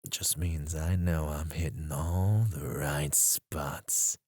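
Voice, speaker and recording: A man playing a low, slow-taunting captor. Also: steady tone, husky, no echo, clean studio sound, full character voice. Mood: teasing, menacing. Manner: taunting, slow and intimate, with soft chuckles and whispers. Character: teasing, menacing male captor interrogating a prisoner. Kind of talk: ASMR roleplay. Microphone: close mic.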